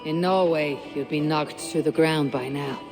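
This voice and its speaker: An actor playing a terse, blunt Viking warrior leader in a video game. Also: female voice, deep voice, steady tone, normal speed, over music.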